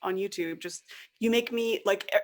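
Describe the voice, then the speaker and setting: Female podcast guest, conversational and informal, medium pitch, slips animatedly into quoted asides. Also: normal speed. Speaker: podcast guest, online course creator and tutorial maker. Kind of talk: casual conversational interview.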